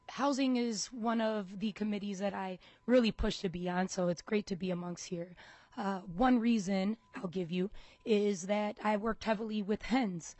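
A woman's voice: mid-range voice, darkish tone, no room sound, low-quality recording. Passionate woman legislator, dry sound. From Minnesota, US. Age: young adult to middle-aged. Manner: passionate, emphatic. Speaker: state representative. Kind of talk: committee introduction speech.

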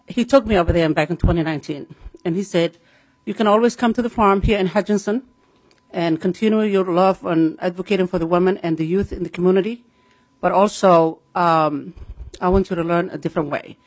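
A middle-aged woman with a Somali accent giving earnest, halting public testimony, repeating phrases for emphasis. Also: fairly low pitch, a little mellow, no echo, poor audio. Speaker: farmer testifying at a legislative committee.

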